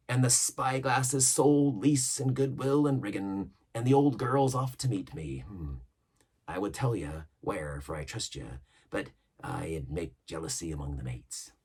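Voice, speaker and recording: A man's voice: medium pitch, a little slow, husky, pitched above the performer's own voice. A man voicing a scheming older pirate cook who talks in a coaxing, boastful seaman's way.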